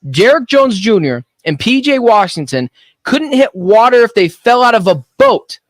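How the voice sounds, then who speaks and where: High-voiced opinionated sports radio man. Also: no room sound. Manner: animated, opinionated, quick asides. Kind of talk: sports betting radio talk. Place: radio studio. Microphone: studio microphone.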